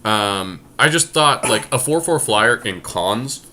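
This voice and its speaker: A man co-hosting a podcast, casually talking through card-game deckbuilding and thinking aloud with hesitant pauses. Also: on the low side, lively intonation, sharp tone. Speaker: podcast co-host.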